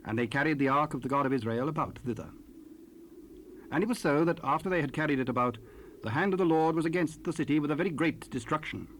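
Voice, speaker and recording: A man's voice: on the high side, fast talker, fairly bright tone. A man narrating scripture in archaic English for a dramatized Bible recording. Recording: noisy raw cassette rip.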